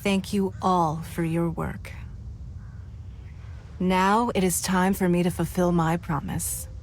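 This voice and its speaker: A woman's voice: on the low side, little pitch movement, slow, slight background noise. A woman playing a poised, powerful lady leader in a video game, gracious, formal and persuasive. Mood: stately, satisfied. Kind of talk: scripted video game voice acting.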